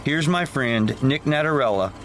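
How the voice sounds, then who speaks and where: Older male host, medium pitch, scripted podcast intro read over faint vehicle noise. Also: lively intonation, moderate pace, fairly bright tone.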